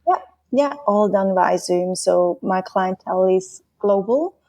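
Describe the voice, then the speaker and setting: A woman's voice: medium pitch, expressive, unhurried, dark tone, low-quality recording. A woman from Switzerland whose first language is Swiss German, a relationship coach answering podcast questions in flowing, easygoing explanations with a ready laugh.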